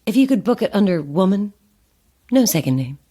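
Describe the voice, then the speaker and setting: A woman's voice: medium pitch, big pitch swings, a little fast, warm tone. A woman playing an anxious, self-deprecating actress in an audio drama, chatty and wry with a theatrical streak. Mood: wry, self-mocking. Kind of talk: audio horror-comedy drama acting.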